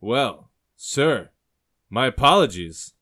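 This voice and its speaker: A man's voice: mid-range voice, sing-song, slow pace, sharp tone, pitched above the performer's own voice, full character voice. A man voicing a shifty, sly barkeep in an audiobook story, eager and wheedling as he angles for coin. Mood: curious, apologetic.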